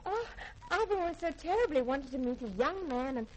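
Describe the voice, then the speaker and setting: Naive young daughter, high voice, very melodic, girlish, eager, 1950s radio drama, slightly noisy old recording. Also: very animated, moderate pace, a little mellow. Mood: wide-eyed delight.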